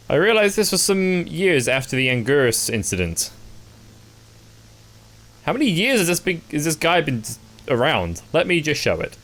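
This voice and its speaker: Animated, slow-paced man reacting wryly. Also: a little high, poor audio. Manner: wry, jokey understatement. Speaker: reaction video host. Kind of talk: casual reaction commentary with read-aloud on-screen text.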